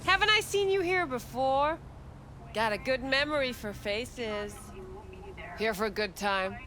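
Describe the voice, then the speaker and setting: Game bit part: friendly, flirty club greeter, high register, slow delivery. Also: female voice, expressive, pushed and bright. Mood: welcoming. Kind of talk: video game drama acting.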